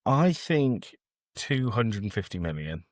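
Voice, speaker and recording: A male podcast co-host with a fairly low voice that moves around a lot as he talks. His humour is sardonic, the chat is casual, and the sound is dry. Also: very animated, takes it slowly, no room sound. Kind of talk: casual film review chat.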